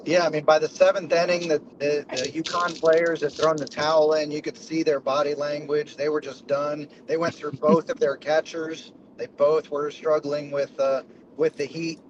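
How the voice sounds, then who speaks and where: A man guest with a hoarse voice, recapping a game in plain talk. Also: fairly high pitch, level tone, moderate pace, muffled recording, noisy background. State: hoarse from screaming.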